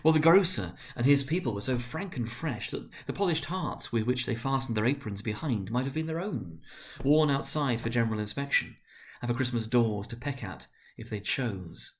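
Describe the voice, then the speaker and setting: A man reading classic prose aloud as a storyteller, in an expressive, deliberate, dramatic narrating manner. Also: mid-range voice. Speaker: narrator, reader.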